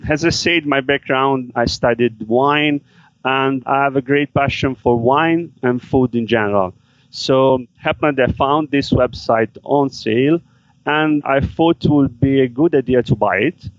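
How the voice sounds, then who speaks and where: A man answers questions in halting, careful English. The audio is dull and muffled, like a remote call, and very dry. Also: mid-range voice, no room sound. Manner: halting non-native English with fillers. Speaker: guest, business seller. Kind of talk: interview answers.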